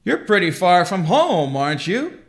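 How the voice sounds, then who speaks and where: A man voicing Santa Claus, a jolly old man who talks in a hearty, drawn-out way and chuckles. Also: high voice, sing-song, echoey room, pitched above the performer's own voice. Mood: jovial.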